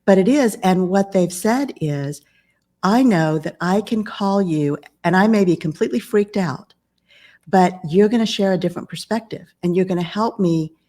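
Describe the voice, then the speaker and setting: Calm, low-voiced woman business author. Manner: calm, measured. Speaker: guest business coach and author. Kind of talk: podcast interview conversation.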